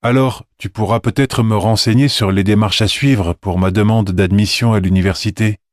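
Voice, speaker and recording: A polite, helpful man, played by a male actor, speaking in a language-exam dialogue. His voice sits fairly low, in a dry, close recording. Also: steady tone, moderate pace, dry recording, lightly acted.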